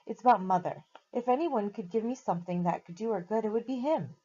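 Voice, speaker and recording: A woman's voice: mid-range voice, fairly quick, dark tone, slightly rough, dull audio, voice pushed up, lightly acted. A woman voicing an earnest, anxious boy pleading urgently and a little breathlessly.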